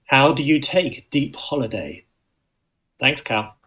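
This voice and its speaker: A man's voice: medium pitch, animated, slow pace, fairly bright tone. Polite male caller. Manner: polite, brief. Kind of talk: recorded voice message.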